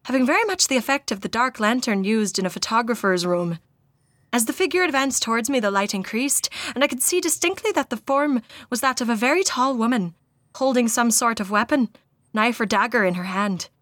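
An earnest witness to a haunting, played by a woman tells the tale in a put-on Irish accent. The voice is high and close, with very little room sound, and the reading is dramatic, as in a storytelling podcast. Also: expressive, fairly quick, fairly bright tone, no echo. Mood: awed, dramatic. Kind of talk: dramatic reading of a first-person ghost account.

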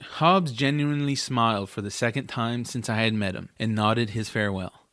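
A man narrates a family fantasy tale in the first person, as a scripted audiobook. His voice sits at a middle pitch, and the recording is close and dry. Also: normal speed, a bit bright, dry recording.

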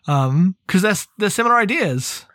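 Male podcast co-host, slightly high-pitched, informal and meandering book talk, close dry sound. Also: animated, moderate pace, fairly bright tone, no echo. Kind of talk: casual chat about a sci-fi book.

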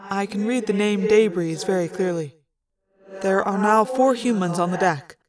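A woman voicing an earnest, literal-minded young male alien in an audiobook, formal, measured and deadpan. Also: mid-range voice, far from the mic, crowd noise, close to an everyday voice. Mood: precise. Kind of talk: audiobook character voice.

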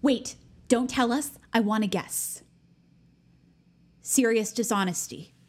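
A woman playing an eager, pushy, goody-goody high school senior in an audio drama, with a husky voice. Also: slow pace. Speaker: actor in an audio play. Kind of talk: naturalistic audio drama dialogue.

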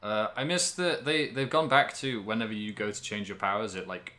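A man's voice: fairly low pitch, brisk, sharp tone. A man co-hosting a podcast, chatting casually about video games in a rambling, hesitant way and often correcting himself. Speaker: podcast co-host.

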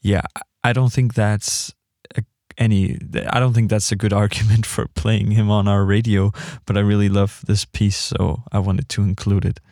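Low-pitched man, gravelly, hesitant radio host. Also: little pitch movement, a little mellow, no room sound, clean studio sound. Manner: hesitant, halting delivery with trailing thoughts. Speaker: radio music show host. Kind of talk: casual unscripted track introductions.

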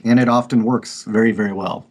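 A man writer talking as a podcast guest in an earnest, reflective and halting way. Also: fairly low pitch, fairly even intonation, slight background noise. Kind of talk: casual interview talk.

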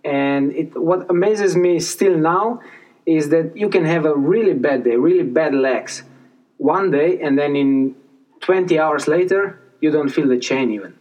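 Halting young man, slightly high voice. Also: darkish tone, distant mic, low-quality recording. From Slovenia. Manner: hesitant, halting, fillers, non-native phrasing. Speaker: professional cyclist, guest. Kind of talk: casual interview answers.

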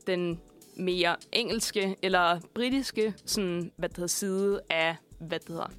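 A woman's voice: on the low side, fairly bright tone, clear, resonant tone, soft background music. A young adult woman co-hosting casual student radio, talking in a rambling, playful, informal way in a studio. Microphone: studio microphone.

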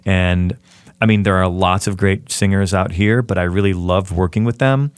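A man interviewing a fellow musician in relaxed, talkative chat, asking long questions. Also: deep voice, normal speed, clean tone, no room sound.

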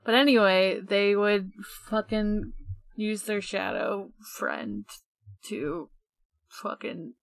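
Casting: female podcast co-host, creaky and unhurried, hesitant, trailing-off casual chat with offhand swearing. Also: mid-range voice, no echo.

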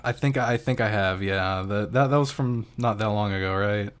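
A man co-hosting a podcast, recapping a TV episode in a halting casual way with many restarts. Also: fairly low pitch, smooth. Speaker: podcast co-host.

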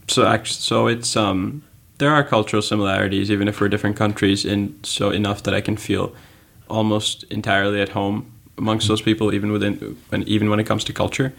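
A man's voice: lowish voice, little pitch movement, a little fast, creaky, few pauses, a bit bright. A young man from Pakistan, a native Urdu speaker, answering interview questions in a thoughtful way, often searching for words. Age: teens. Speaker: student guest.

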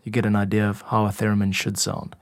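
A man's voice: on the low side, completely flat delivery, fast, background noise. A man giving an informal, instructive tutorial as a music producer and podcast host. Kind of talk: explanatory tutorial narration.

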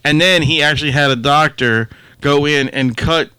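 Podcast host, man, bright forceful voice, dry close sound, blunt and joking. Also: a little high, moderate pace, clear, resonant tone, no echo, rough recording. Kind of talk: casual crude comedy podcast banter.

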